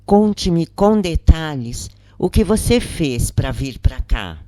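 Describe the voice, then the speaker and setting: A grave, patient imprisoned woman , as a narrator reads her, speaks slowly and earnestly with a Brazilian accent. She explains and confides in an audiobook story, with a dry, close sound. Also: low-pitched, sing-song, warm tone, dry recording, exaggerated voice. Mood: gently probing.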